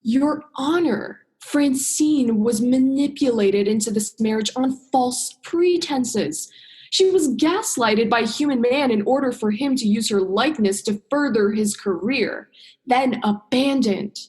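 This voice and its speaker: A woman's voice: fairly high pitch, a little slow, a little mellow, natural voice. A young woman playing an earnest, exasperated human heroine in a radio play, with a direct, emotional delivery. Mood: earnest, formal. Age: young adult. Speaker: drama student actor.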